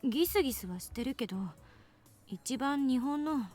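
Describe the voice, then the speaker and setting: A woman's voice: sits high, lively intonation, fast talker, darkish tone, soft background music. A woman voicing a prickly, defensive, brainy young woman in a Japanese visual novel, with a curt, huffy manner. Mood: sullen, reluctant. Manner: curt, tsundere huffiness.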